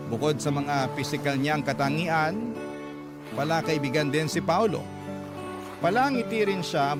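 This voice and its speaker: A man working as a radio storyteller, reading out a first-person love letter as scripted narration. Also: on the high side. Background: music.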